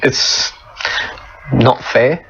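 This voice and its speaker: Slow, gravelly man. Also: medium pitch, fairly bright tone. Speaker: relative of a crash victim. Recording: dull, noisy on-location news clip.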